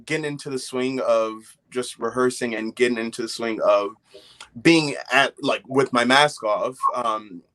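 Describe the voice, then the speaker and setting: A man in his twenties giving a candid interview answer over a video call, reflective and pausing mid-thought. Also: mid-range voice, fairly bright tone. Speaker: actor. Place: small indoor room at home.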